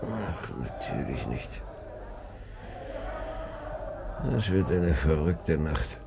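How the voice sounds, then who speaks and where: Casting: down-to-earth husband at night, gravelly and animated, radio drama with clear background noise. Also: male voice, very deep voice, slow pace, exaggerated voice. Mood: weary. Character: sleepless, practical husband calming his anxious wife.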